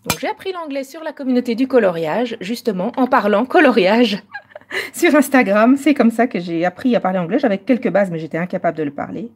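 Chatty Belgian woman with a higher voice. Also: animated, a little mellow, slight background noise. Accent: Belgian French. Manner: switches between French and English, English a little halting. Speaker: live-stream coloring host. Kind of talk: casual live-stream chat.

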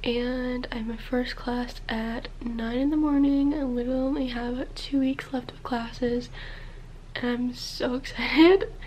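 A woman's voice: on the high side, fairly even intonation, unhurried, a little mellow, a little hiss or hum. A young woman chatting casually to camera in her bedroom, slightly stuffed up, with lots of "like" and "literally". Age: young adult. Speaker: college student vlogger. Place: small bedroom, indoors.

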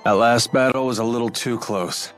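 An actor playing a roguish, cocky mercenary in a video game dub, with a casual, wry way of talking. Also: male voice, mid-range voice, steady tone, moderate pace, faint music behind, close to an everyday voice. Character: roguish, cocky mercenary man with easy swagger.

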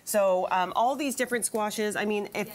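A woman's voice: medium pitch, normal speed. A woman, explaining food casually and chattily as a guest nutrition consultant. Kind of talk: casual live cooking demonstration. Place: large TV studio, indoors.

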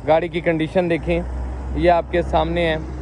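A man in his thirties walking around a used car market outdoors, showing cars and chatting with owners in brisk, repetitive patter. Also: a little high, completely flat delivery, darkish tone, a little hiss or hum. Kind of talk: walkaround car showcase with owner chats.

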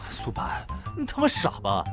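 An actor playing a brash, lovesick teenage schoolboy in an audio drama, grumbling and easily exasperated. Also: male voice, a little high, huge pitch swings, normal speed, over music. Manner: foul-mouthed, grumbling, swinging into outbursts. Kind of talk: modern audio drama acting.

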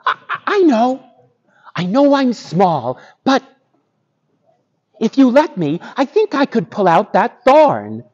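A man's voice: very high-pitched, wildly swooping pitch, slow pace, pauses often, a little mellow, slightly rough, lo-fi sound, pitched above the performer's own voice, heavily acted. A man voicing a small, kind, brave mouse who speaks in an earnest, hesitant, pleading way for a children's story. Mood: earnest, timid.

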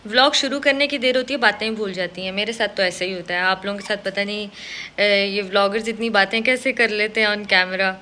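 A woman's voice: mid-range voice, steady tone, brisk, bright, forceful tone, a little hiss or hum. A young woman vlogging casually to a phone camera at home, explaining what she has learned running a restaurant and mixing in English words. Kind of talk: casual talking-head vlog. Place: small indoor room at home. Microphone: phone camera at close range.

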